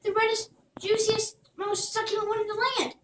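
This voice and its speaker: A woman's voice: very high voice, level tone, normal speed, noisy background, pitched above the performer's own voice. A woman voicing an earnest young boy in a storybook read-aloud, talking eagerly. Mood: anxious. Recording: roomy, distant mic.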